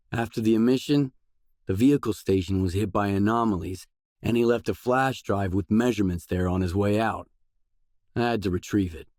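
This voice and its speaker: A man voicing a gruff, laconic video game mercenary who speaks in a guarded, matter-of-fact way. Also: lowish voice, darkish tone, no echo, studio quality. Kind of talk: video game dialogue lines.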